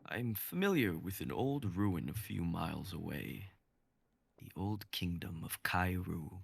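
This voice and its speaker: A man voicing Guang, a calm, knowing guide who gives measured advice. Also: low-pitched, vocal fry, breathy edge, no room sound, exaggerated voice. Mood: steady.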